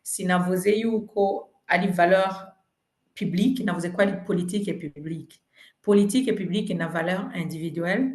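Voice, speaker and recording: A woman's voice: fairly low pitch, reverberant. A woman political candidate from Burundi whose first language is French, earnestly answering questions in a virtual community meeting. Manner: earnest, mixing languages, persuasive. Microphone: video call.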